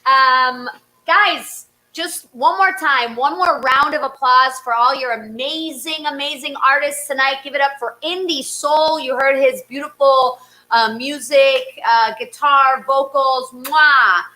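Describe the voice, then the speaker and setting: A woman's voice: sits high, measured pace, bright, forceful tone, rough recording. A woman hosting a variety show in a casual, effusive, exclamatory way. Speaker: host of a livestreamed variety show.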